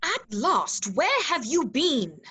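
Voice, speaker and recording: High voice, woman acting a fretful humanoid robot, swooping intonation, alarmed, dramatic script reading. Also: wildly swooping pitch, takes it slowly, bright, forceful tone, full character voice. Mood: searching, exasperated. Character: anxious, fretful, slender bronze humanoid robot. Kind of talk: script table read acting.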